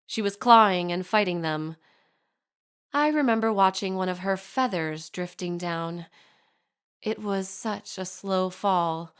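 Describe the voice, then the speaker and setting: A woman performs a shrewd, self-possessed young lady of a powerful family in an audio drama monologue. Her voice sits at a middle pitch, and she speaks formally, placing each pointed phrase with cool care. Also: smooth, lightly acted. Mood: haunted. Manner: formal, measured, eloquent diction with pointed emphasis. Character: poised, shrewd young bride from a spymaster family, coolly accusing. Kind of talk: scripted dramatic monologue addressed to her mother.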